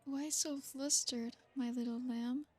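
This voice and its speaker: A woman voicing an ancient, aristocratic female vampire in an ASMR roleplay. She speaks in a soft, formal, flattering way. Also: high-pitched, slow. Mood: flirtatious.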